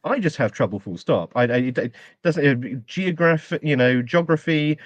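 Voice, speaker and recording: A man's voice: fairly high pitch, expressive, normal speed, no room sound. Rambling male podcast host. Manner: rambling, many ums and restarts. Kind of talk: casual podcast chat.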